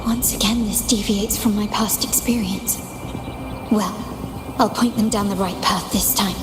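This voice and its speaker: A woman's voice: medium pitch, steady tone, a little slow, lightly acted. A woman playing a composed, commanding young mafia heiress in a video game, speaking with poised self-assurance. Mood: calm, resolute. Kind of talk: English video game voice acting. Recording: loud music behind the voice.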